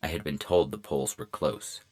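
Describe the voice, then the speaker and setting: A man playing a deadpan robot police officer who narrates a hardboiled detective story in clipped phrases. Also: very deep voice, normal speed. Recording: music bed behind the voice.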